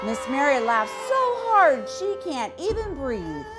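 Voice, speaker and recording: A woman's voice: high voice, sing-song, unhurried, over loud music, over a noisy crowd. A woman narrating a children's storybook from a script. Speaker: storybook reader.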